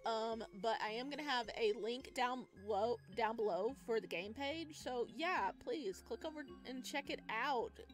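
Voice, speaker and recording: A woman doing casual, excitable let's play commentary and reading a visual novel aloud. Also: fairly high pitch, measured pace, hardly any pauses, soft background music. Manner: expressive, excitable. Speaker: gaming video creator.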